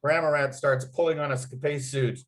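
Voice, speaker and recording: A man's voice: a little high, steady tone, normal speed, noisy background. A man reading script stage directions aloud as narrator, with clipped, dramatic scene headings. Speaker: narrator and writer.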